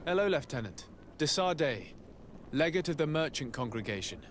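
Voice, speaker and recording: A man playing a composed, courteous noble envoy in a video game, speaking formally, politely and in a measured way. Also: on the high side, sing-song, a little hiss or hum. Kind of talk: video game cutscene acting.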